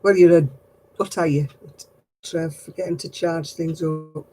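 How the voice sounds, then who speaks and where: An older woman chatting casually on her craft livestream, answering viewers in a low, husky voice and a rambling, gossipy way. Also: fairly even intonation, unhurried, dark tone, poor audio. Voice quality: low, husky voice after throat surgery.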